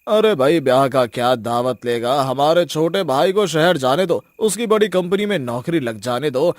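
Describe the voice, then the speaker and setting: A man's voice: on the high side, animated, moderate pace, dry recording, clean studio sound, close to an everyday voice. A man voicing stern, indignant village men in a cartoon family story. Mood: teasing, boastful. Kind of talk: acted cartoon story dialogue.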